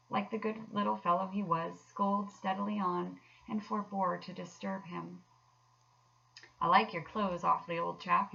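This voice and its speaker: A woman's voice: fairly low pitch, vocal fry, pushed and bright, distant mic, dull audio. A woman in her thirties narrating a children's storybook aloud as a teacher would. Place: small furnished room at home. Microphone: laptop webcam at desk distance.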